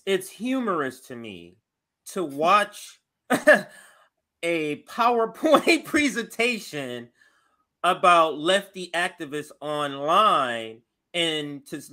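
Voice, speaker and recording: An American man co-hosting a casual political show, talking in a halting, repetitive, wryly amused way. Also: a little high, pushed and bright. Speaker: co-host.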